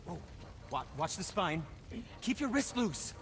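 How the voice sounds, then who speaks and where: A man voicing a fastidious, clever dwarf craftsman in a video game, precise and wry. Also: high voice, very animated, big-room sound, background noise. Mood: surprised. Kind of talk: video game character acting.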